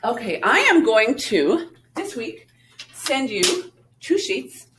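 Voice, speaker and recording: A woman's voice: a little high, sing-song, takes it slowly, background noise. A woman music teacher giving a recorded lesson to young children, explaining patiently step by step. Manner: sings short phrases to show melody.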